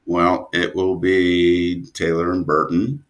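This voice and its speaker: A man with a very deep voice talks at a very unhurried pace. He is an interview guest thinking out loud, casual and reflective. His delivery rambles and has a modest, self-deprecating streak. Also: monotone. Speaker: interview guest, audio drama writer and producer.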